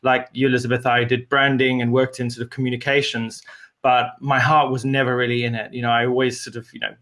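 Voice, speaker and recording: A man's voice: mid-range voice, level tone, quick pace, a bit bright. A man from Zimbabwe, a guest in an interview, telling his story earnestly with many fillers and restarts. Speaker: guest, media company co-founder. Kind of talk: conversational interview answers.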